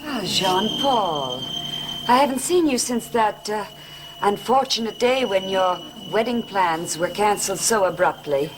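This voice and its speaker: A woman's voice: mid-range voice, animated, unhurried, noisy background, lightly acted. An actress playing a poised, secretive married woman in a gothic soap opera, with polite, formal and guarded speech. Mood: politely probing. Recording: old TV soundtrack with dramatic music.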